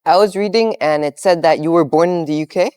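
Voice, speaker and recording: A man's voice: a little high, fairly quick, a little mellow, a little hiss or hum. A man hosting an interview, asking plain and direct questions.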